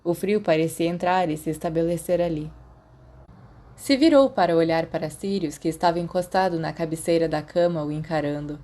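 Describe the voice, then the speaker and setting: A woman's voice: sits low, a little fast, mellow. A woman with a Brazilian accent reading a scripted story as an audiobook narrator. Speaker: audiobook narrator of fan fiction.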